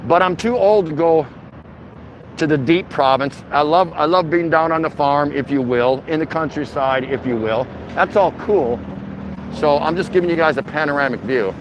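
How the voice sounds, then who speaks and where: A man's voice: a little high, moderate pace, lo-fi sound. A middle-aged man vlogging live on a walk, chatting casually in an easygoing, rambling, slangy way to his viewers. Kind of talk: casual livestream walking-tour chat. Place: outdoors, walking through a city shopping district. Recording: outdoor city noise, passing traffic.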